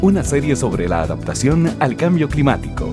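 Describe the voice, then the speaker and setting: Man doing a radio series intro, lively pitch, big music behind. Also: normal speed. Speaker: announcer.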